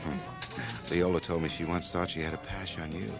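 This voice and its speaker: A man's voice: deep voice, flat delivery, fairly quick, over music. An actor playing a glib, self-satisfied young man with ambition, breezy and pompous in radio drama dialogue. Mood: breezy, cocky. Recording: 1980 radio drama, home cassette transfer.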